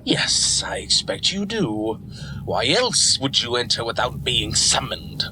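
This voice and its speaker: A cruel snake lord of fantasy audio drama. He savors his words slowly, mocking and grandiose, with big swoops in pitch. Clear noise sits around the voice. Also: big pitch swings. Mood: impatient contempt. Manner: theatrical, mocking, sneering. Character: scheming gloating male snake villain lord, grandiose and cruel. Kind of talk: fan audio drama villain acting.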